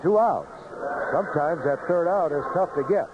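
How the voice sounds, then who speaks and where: High-pitched baseball announcer, man, old noisy radio. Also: moderate pace. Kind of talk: live radio sports commentary. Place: outdoor ballpark broadcast booth. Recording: noisy, muffled vintage AM radio broadcast.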